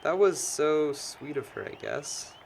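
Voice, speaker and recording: A man's voice: fairly high pitch, animated, takes it slowly, vocal fry, a little hiss or hum, exaggerated voice. A young man acting a wisecracking, sarcastic friend in casual amateur film dialogue. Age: young adult. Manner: sarcastic, teasing.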